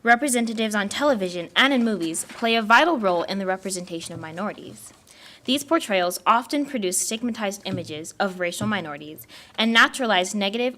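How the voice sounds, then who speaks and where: A young woman, a student presenter, reading a prepared talk in a steady, formal way. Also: mid-range voice. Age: young adult.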